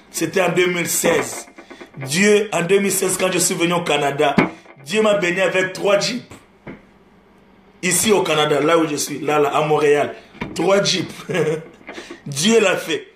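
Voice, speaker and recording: A man's voice: a little high, fairly bright tone, reverberant, slight background noise. A man giving a testimony sermon, repeating phrases in a rising preaching cadence and slipping into Lingala. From Democratic Republic of the Congo. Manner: repetitive preaching cadence with laughter, mixing French and Lingala. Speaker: gospel singer preaching. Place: live-streamed church setting.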